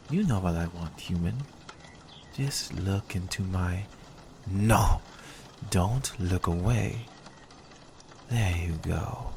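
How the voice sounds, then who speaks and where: A smug, predatory vampire, acted by a man in an ASMR roleplay. The voice is fairly low and crackles with fry, drawing words out slowly. He teases and coaxes his prey with hypnotic menace. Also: darkish tone, heavily acted. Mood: playful, commanding. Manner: slow, teasing, menacingly coaxing, sometimes whispering.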